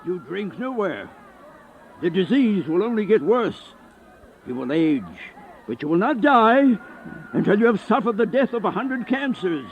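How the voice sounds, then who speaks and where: An actor playing an aged, sickly vampire in a radio horror play, bitter and remorseful, in a grandiose, melodramatic manner. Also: male voice, fairly high pitch, slight background noise. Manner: grandiose, brooding, melodramatic monologue. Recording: dull lo-fi radio play with music.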